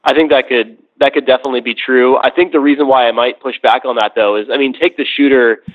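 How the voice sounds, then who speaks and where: A man's voice: medium pitch, fairly even intonation, quick pace. A man in a casual discussion over a thin phone-like connection, tentatively qualifying his points as he goes. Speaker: podcast discussion guest. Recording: thin, narrow phone-like line.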